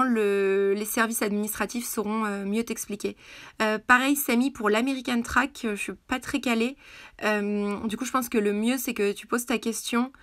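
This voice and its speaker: A woman's voice: mid-range voice, dry recording, clean studio sound. A woman in her twenties giving informal advice to students in a live Q&A, hesitant and thinking aloud. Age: 20s. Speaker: start-up founder and business school alumna answering live questions.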